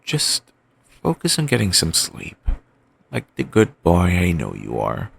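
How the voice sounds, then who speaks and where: A man voicing an obsessive, doting young boyfriend, rambling softly in a bedtime comfort roleplay. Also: sits low, huge pitch swings, unhurried, creaky, a little hiss or hum, full character voice. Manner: soft, rambling, affectionate murmuring.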